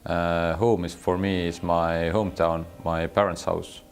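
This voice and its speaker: A man's voice: deep voice, little pitch movement, unhurried. A man answering interview questions thoughtfully, with many fillers.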